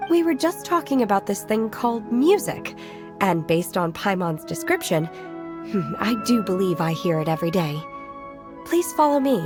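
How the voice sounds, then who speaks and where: A woman voicing a serene, literal-minded young mountain disciple in an anime-style video game, formal, measured and earnest. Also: mid-range voice, very animated, lightly acted. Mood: puzzled, earnest. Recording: music faintly behind the voice.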